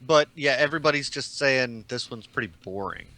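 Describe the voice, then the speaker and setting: A man with a bright, sharp-edged voice at middle pitch joins a casual panel discussion. The sound is dry and free of room echo. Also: a little fast. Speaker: podcast panelist. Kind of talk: casual chat.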